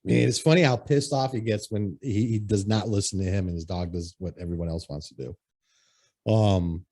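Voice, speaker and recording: Podcast host, man, low mellow voice, rambling and profane, dry close sound. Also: steady tone, normal speed, dry recording. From Connecticut. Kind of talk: casual chat.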